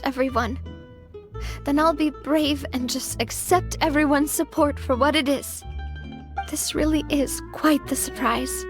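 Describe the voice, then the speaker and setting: Young female gang president, high voice, sincere, self-conscious, soft game music behind. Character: young woman, warm-hearted gang president. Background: game soundtrack music.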